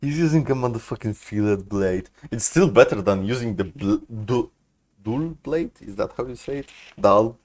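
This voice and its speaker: A man's voice: on the low side, animated, normal speed, darkish tone. A young man giving casual, profane, slangy and incredulous commentary as a game streamer.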